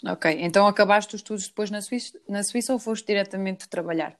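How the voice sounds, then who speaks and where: A woman's voice: medium pitch, steady tone, fast. A woman from Switzerland hosting a podcast interview in a chatty, conversational way. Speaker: podcast host.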